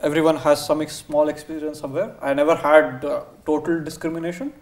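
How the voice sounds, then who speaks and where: A young man with an Indian English accent talks as a studio guest, thinking aloud with frequent pauses and fillers. His voice sits a little high for a man. He sounds close-miked in a quiet studio. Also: flat delivery. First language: Kannada. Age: young adult. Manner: hesitant, thinks aloud with many uh's. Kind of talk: casual studio chat. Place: TV studio set, seated on sofa.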